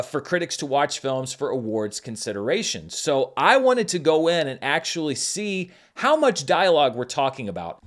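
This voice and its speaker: Male host, film critic, lively explainer delivery, slightly high voice. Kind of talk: scripted commentary.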